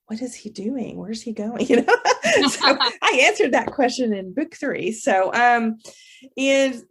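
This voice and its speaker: A woman's voice: fairly high pitch, expressive, a little slow, a bit bright, slight background noise. A woman novelist chatting as a podcast guest, often correcting herself mid-sentence. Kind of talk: casual interview chat.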